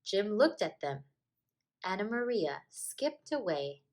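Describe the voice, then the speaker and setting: Woman teacher doing storytime read aloud, slow n expressive, really animated pitch. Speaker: teacher reading a picture book aloud.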